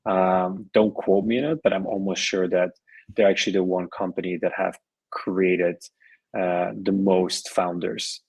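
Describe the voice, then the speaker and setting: Deep, flat-voiced man talking business. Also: unhurried, vocal fry, husky, dry recording. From Switzerland and Colombia. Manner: many restarts and repeated words, easygoing. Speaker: podcast guest, venture investor. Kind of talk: conversational interview.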